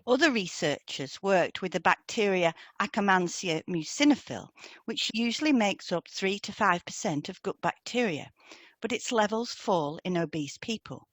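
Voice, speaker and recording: A British woman co-hosting an educational podcast, reading scripted explanations in a measured way. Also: fairly low pitch, fairly bright tone, no echo. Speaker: podcast co-host and hypnotherapist.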